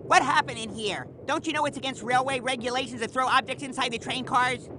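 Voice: high voice, fairly quick, noisy background, lightly acted. An actor playing a pushy know-it-all boy in a video game, with a bossy, pedantic and smug way of talking. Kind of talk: video game cutscene acting.

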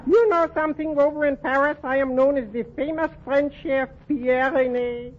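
A man's voice: very high voice, fairly even intonation, normal speed, a faint crowd. A man playing a cocky, eccentric, boastful chef in 1940s radio comedy. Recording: dull 1940s radio recording, faint noise.

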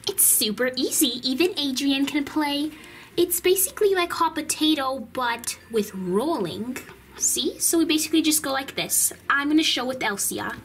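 A woman's voice: high-pitched, expressive, moderate pace, fairly bright tone, a little hiss or hum. A woman voicing an older girl minding her younger cousins in a children's play story, coaxing and instructing them. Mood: cheerful, explaining.

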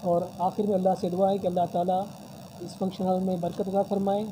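A man's voice: high-pitched, little pitch movement, fairly quick, noisy background. A man answering reporters' questions at a press conference, formal and promotional, often using religious phrases. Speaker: convention hall representative. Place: decorated hall at a press table. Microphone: many news mics on a table.

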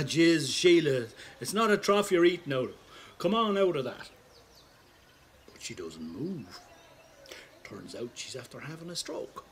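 An actor playing an older Irish pensioner, roguish and callous, telling a rambling, sweary, wry anecdote in a casual dramatic monologue. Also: male voice, mid-range voice, a little hiss or hum. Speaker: storyteller.

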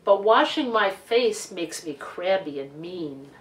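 Slow-reading middle-aged woman, roomy sound. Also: on the low side, slight background noise. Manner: expressive, deliberate read-aloud with emphasized words. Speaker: children's storytime reader. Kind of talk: reading a picture book aloud to children. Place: living room couch, indoors. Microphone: camera a few feet away. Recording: roomy home recording, distant microphone.

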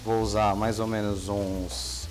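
A man, a chef calmly explaining a recipe on a TV cooking show. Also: lowish voice, slow pace. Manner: calm, explanatory, ends phrases with "né?". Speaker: chef guest. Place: TV studio kitchen set. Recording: studio recording with music bed. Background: background music.

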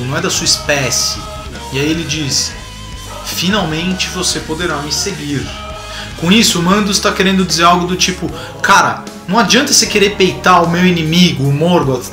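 Animated Brazilian man in a roomy space. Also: fairly high pitch. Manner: animated, colloquial, rhetorical asides. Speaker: video host. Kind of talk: casual explanatory commentary. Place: small indoor home room with bookshelves. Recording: roomy echo, music behind.

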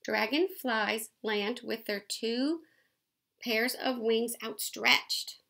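Woman reading a teacherly mom in a picture book, gravelly and bright. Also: fairly high pitch, drawn-out words, exaggerated voice. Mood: explaining. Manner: explaining, teacherly. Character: patient nature-loving mother reading a field guide.

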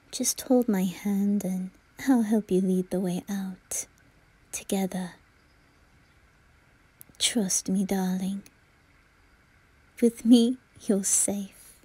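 Girl voicing bratty young earl guy, asmr roleplay, soft and mellow, teasing but sweet, close dry sound. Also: medium pitch, slow pace, pauses often, breathy edge, no room sound. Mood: tender, reassuring. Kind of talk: ASMR roleplay addressed to the listener.

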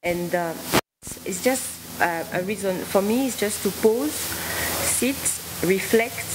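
A woman from West Africa, a gospel singer answering reporters' questions at a press conference, slow and thoughtful with many fillers. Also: medium pitch, very noisy. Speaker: gospel singer hosting a concert. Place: press conference room, indoors. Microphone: table microphone.

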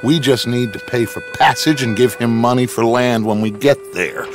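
An actor playing a persuasive American outlaw gang leader in a western game, speaking with theatrical urgency. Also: male voice, medium pitch, moderate pace, over music, lightly acted. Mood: urgent, persuasive. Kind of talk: video game cutscene acting.

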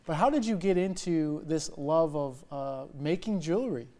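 The man at the morning news desk, American, voice sitting somewhat high as he chats with a local guest. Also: lively intonation, moderate pace, warm tone. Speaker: TV news anchor interviewing a guest. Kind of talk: morning news interview, conversational. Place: TV news studio.

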